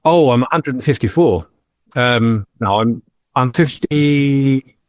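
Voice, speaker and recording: A man's voice: medium pitch, slow, a little mellow, no room sound, studio quality. Joking man in his fifties. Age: 50s. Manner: jokey, anecdotal storyteller. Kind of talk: casual chat, personal storytelling.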